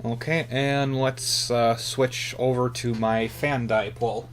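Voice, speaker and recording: A man talks through a hobby radio demonstration in a casual, halting way. He thinks aloud at a middling pitch, and a faint hum sits behind his voice. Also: steady tone. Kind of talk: casual hands-on equipment demonstration.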